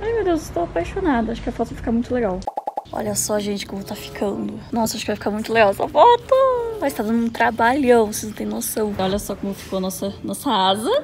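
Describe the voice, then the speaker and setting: A woman's voice: fairly high pitch, lively intonation, fast talker, creaky, music in the background. A young woman from Brazil vlogging casually to camera in her bedroom, chatty and addressing viewers as friends, a bit tired and losing her voice. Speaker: YouTuber. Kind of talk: casual vlog chat to camera. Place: bedroom, indoors. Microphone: handheld camera close to face.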